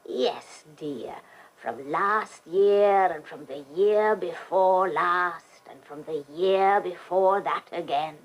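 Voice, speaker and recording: An actress playing a mournful, brooding old Irish wife in a radio play, with halting, drawn-out phrases and long pauses. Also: female voice, fairly low pitch. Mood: mournful, wistful. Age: older. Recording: noisy old cassette of radio broadcast.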